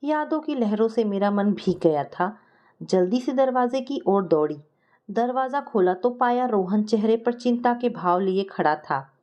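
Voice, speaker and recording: A woman's voice: mid-range voice, expressive, a little slow, a little mellow, muffled recording. A woman reading a scripted first-person family story as a storyteller.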